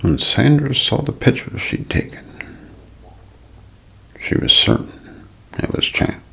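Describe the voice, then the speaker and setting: Hushed, gravelly man telling ASMR mysteries. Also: mid-range voice, expressive, rough recording. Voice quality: breathy, hushed half-whisper. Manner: slow, deliberate, broken into short phrases. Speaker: ASMR narrator. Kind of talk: ASMR mystery storytelling, scripted narration.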